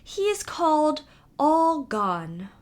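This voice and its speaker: A sly, greedy she-cat from a fairy tale, as a woman narrator puts her on. She talks slowly with lively swoops, smug and coolly dismissive. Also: high voice, pitched above the performer's own voice, heavily acted.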